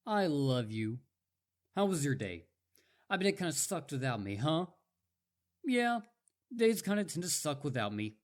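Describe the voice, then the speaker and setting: An eager young wolf boy, acted by a man, speaks straight to the listener in a roleplay drama. His pitch swings up and down with great animation. He is smitten and full of himself, rambling on and stammering whenever he gets flustered. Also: very animated, a little slow, long pauses. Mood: affectionate, cocky.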